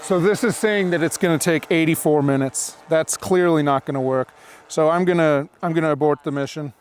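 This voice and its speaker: Higher-voiced man, casual outdoor vlogger. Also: a little fast, slight background noise. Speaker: drone pilot and video host. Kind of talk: casual running commentary to camera while demonstrating gear. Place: outdoors in open dry hills.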